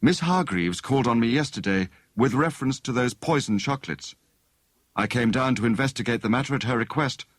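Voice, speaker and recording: A man voicing a brisk, confident gentleman detective in an audiobook, authoritative and courteous. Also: mid-range voice, animated, dry recording, pitched above the performer's own voice, lightly acted. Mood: courteous, businesslike.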